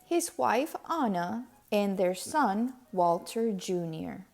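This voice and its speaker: A woman narrates a children's picture book in a mellow, relaxed voice. She reads very slowly, setting out each phrase with care. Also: mid-range voice, vocal fry. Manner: deliberate, measured phrasing. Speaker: read-aloud storyteller.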